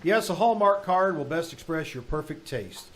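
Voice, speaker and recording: A man's voice: fairly high pitch, lively intonation, normal speed, a bit bright. A man playing a polished 1940s radio announcer, reading scripted sponsor messages. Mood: assured, promotional. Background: music.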